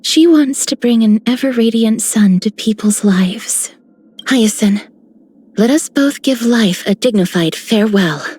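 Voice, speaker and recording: A woman voicing a soft, solemn young priestess in a video game, gentle and grave. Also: a little high, expressive, a little slow, breathy edge. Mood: solemn, tender. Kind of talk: scripted game character lines.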